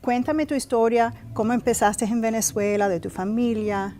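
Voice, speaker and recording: A woman's voice: a little high, steady tone, normal speed, mellow. A woman hosting a conversational TV interview in a chatty, encouraging way, often adding short reactions. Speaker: TV interview host.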